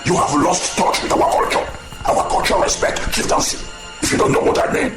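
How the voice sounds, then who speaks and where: A man's voice: fairly quick, lightly acted. Name: Chief Lawanson Omoba. Figure: pompous chief voiced by a man. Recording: echoey, with music. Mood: indignant. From Nigeria. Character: proud pompous Nigerian chief, touchy about title. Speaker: film distributor and producer. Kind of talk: amateur radio drama acting. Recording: echoey room with background music.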